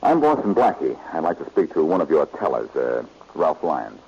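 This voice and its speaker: An actor playing a confident, smooth-talking detective who questions pointedly, in a 1940s radio drama. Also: male voice, very deep voice, big pitch swings, moderate pace, darkish tone, full character voice. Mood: businesslike. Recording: dull, noisy old radio recording.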